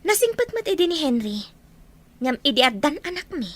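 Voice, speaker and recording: A wary, sorrowful mother, played by a woman with a fairly high voice and an Ilocano Filipino accent. She speaks earnestly, as in a radio drama about family hardship. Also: very animated, fairly quick. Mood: wary, burdened. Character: wary, burdened mother raising children alone. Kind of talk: Ilocano audio drama acting.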